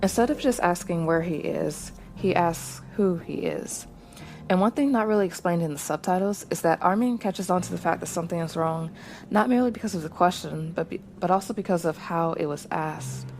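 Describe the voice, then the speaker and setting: Female podcast host, lower register, measured and reflective, light background noise. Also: darkish tone. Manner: measured, reflective, with small digressions. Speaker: podcast host reacting to anime. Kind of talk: thoughtful solo commentary.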